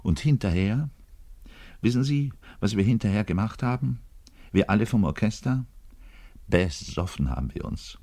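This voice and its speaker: A man playing a lonely, bitter, self-pitying middle-aged double bass player in a grandiloquent theatrical radio monologue. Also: a little mellow. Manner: grandiloquent, swinging between outbursts and muttering. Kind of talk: theatrical monologue addressing the listener.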